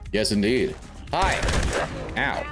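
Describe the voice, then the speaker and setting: A man's voice: fairly low pitch, animated, takes it slowly, fairly bright tone. A man from South Africa streaming a game, chatting casually and thinking aloud as he plays. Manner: chatty, rambling, thinks aloud while playing. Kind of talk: casual gameplay commentary. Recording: faint game music behind.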